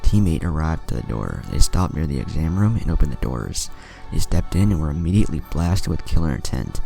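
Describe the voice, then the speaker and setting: Very deep, gravelly male story narrator. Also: big pitch swings, fairly quick, warm tone. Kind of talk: scripted fan fiction story narration. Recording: music under the voice. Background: background music.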